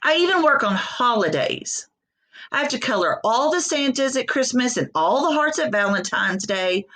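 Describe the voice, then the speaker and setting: A woman's voice: fairly high pitch, animated, moderate pace, fairly bright tone, pitched above the performer's own voice. A woman voicing a weary, overworked storybook crayon who lists grievances emphatically. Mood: weary, aggrieved.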